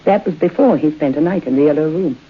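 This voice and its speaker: An actress playing a genteel, knowing middle-aged widow in a vintage radio suspense play. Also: female voice, low voice, fast, breathy edge. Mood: ominous, knowing. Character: genteel, eerie middle-aged widow hostess, coyly hospitable. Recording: muffled tinny old radio broadcast.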